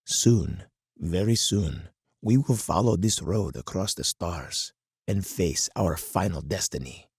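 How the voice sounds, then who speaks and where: An actor playing a hard, commanding older colonel in a strategy game, husky and gravelly, with stern, deliberate oratory. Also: male voice, low-pitched, lively intonation, a little mellow, no room sound, studio quality, heavily acted. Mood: grave lecturing. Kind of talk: dramatic scripted game character monologue.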